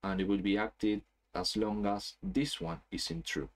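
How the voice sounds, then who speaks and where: Low-voiced man explaining software, chatty. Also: lively intonation, normal speed, vocal fry. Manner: casual, explanatory, frequent "okay?" checks. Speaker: software trainer. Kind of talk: screen-share tutorial.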